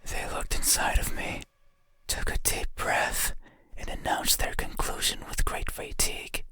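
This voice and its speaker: Slow, eerie whispering monster. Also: male voice. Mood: hollow, wistful. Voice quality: breathy, unvoiced whisper. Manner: slow, halting, intimate phrasing. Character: eerie, needy bedside monster begging to be looked at. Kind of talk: ASMR-like horror game monologue. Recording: loud music under the voice. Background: music.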